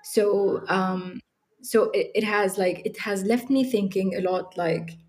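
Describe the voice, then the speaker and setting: A woman's voice: mid-range voice, steady tone, takes it slowly, darkish tone. A young woman from Libya, talking as an interview guest, hesitant with many ums and restarts. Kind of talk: casual interview talk.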